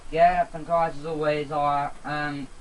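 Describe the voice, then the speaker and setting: A man's voice: a little high, little pitch movement, slow, a little hiss or hum, low-quality recording. A man chatting casually as a co-host of a Let's Play gaming video.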